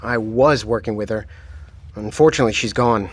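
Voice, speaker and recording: A man playing a military commander, speaking in a calm, measured, matter-of-fact way. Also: on the low side, a little hiss or hum, lightly acted. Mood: composed. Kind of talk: audio drama acting.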